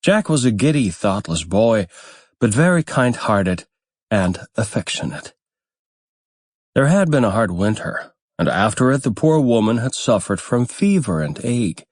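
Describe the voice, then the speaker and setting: A man narrating a fairytale audiobook in a measured, deliberate storytelling manner. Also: on the low side, sing-song, darkish tone, no room sound, studio quality.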